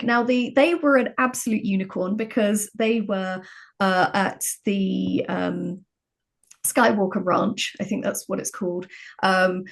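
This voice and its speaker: Female podcast guest, writer type. Medium pitch, conversational, explanatory, emphatic delivery.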